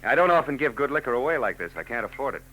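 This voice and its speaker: A wisecracking hardboiled detective. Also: male voice, medium pitch, animated, rapid-fire, sharp tone, rough recording. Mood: wry. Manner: dry, sardonic wisecracks. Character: wisecracking hardboiled male private eye. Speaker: narrator and lead. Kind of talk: 1940s radio acting.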